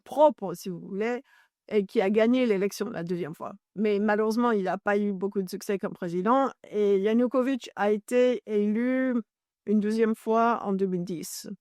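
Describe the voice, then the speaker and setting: A woman's voice: medium pitch, normal speed, darkish tone, no echo. Irish woman, english is her first language, being interviewed, keeps hunting for words and fixing them, dry close sound. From Ireland. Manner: searches for French words, self-correcting as she explains. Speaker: journalist and author, interview guest. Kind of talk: interview, explaining at length.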